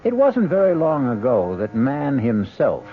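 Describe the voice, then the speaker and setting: A man playing a grave, philosophical host, narrating a macabre radio drama in a deliberate, portentous manner. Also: mid-range voice, huge pitch swings, noisy background. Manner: deliberate, portentous, rhetorical questioning. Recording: dull 1970s radio recording, music behind.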